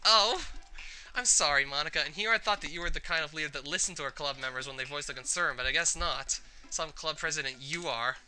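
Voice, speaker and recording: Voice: medium pitch, a little fast, pushed and bright, soft background music. A performer voicing a brash, feisty, sarcastic teenage girl with a blunt manner in a visual novel. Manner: blunt, indignant.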